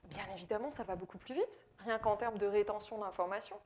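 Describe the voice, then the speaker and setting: A woman's voice: mid-range voice, a little fast, darkish tone, slight background noise. A woman from France with a French accent giving a business presentation, explaining with frequent fillers and repeated words. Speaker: AI consultant speaker. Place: presentation in Quebec.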